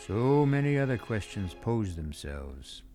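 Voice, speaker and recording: A brooding, theatrical mystery narrator for a radio drama. He has a low, creaky voice with dramatic swings, speaking deliberately over music. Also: sing-song, dark tone. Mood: grave, intriguing. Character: brooding, theatrical male mystery-story host. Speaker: host and narrator.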